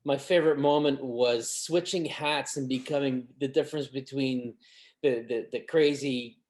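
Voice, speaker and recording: Male voice actor, medium pitch, halting casual talk with repeated phrases. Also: steady tone, a little mellow. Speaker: voice actor in an audio drama. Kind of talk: casual chat.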